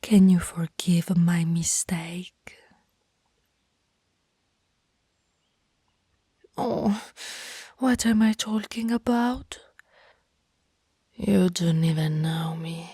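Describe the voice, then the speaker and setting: A lovestruck female vampire acted by a woman whispers in a low, mellow, breathy voice. She speaks slowly and formally, with old-fashioned romantic courtesy, both predatory and apologetic, as in an intimate ASMR roleplay. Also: no room sound, studio quality, heavily acted. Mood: embarrassed, pleading.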